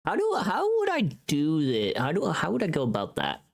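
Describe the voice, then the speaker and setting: A streamer chatting casually and playfully on a livestream, thinking aloud in an expressive way. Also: medium pitch, moderate pace, gravelly. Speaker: livestreamer and voice actor making a voice pack.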